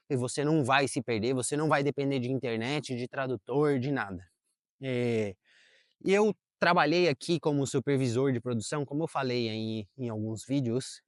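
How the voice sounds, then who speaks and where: A man's voice: medium pitch, no room sound, studio quality. A young adult man talking casually to camera in a park, chatty and full of fillers. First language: Portuguese. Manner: chatty, self-correcting, lots of fillers. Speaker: vlogger. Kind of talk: casual vlog talk to camera. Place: outdoors in a park.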